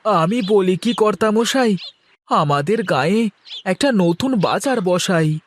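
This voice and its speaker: A man's voice: high voice, animated, slow, slight background noise. A man voicing an eager, deferential villager in a cartoon. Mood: earnest. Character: eager, deferential male villager making suggestions to his boss. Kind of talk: cartoon voice acting.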